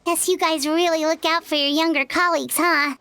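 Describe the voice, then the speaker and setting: A woman's voice: fairly even intonation, brisk, sharp tone, a little hiss or hum, full character voice. A woman voicing a tiny, childlike fairy companion in a video game, earnest and naive. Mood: curious. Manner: squeaky, naive, speaks of herself in third person.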